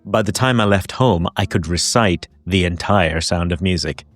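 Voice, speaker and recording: A man's voice: deep voice, normal speed, no room sound. A man narrating a podcast episode, telling personal holiday stories in a reflective way. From Hong Kong. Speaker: podcast host. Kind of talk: scripted narration.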